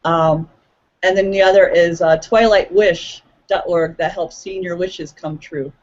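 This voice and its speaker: A woman's voice: on the low side, steady tone, unhurried, muffled recording. A woman appearing as a guest coach in an online interview. She speaks earnestly and hesitantly, with frequent ums.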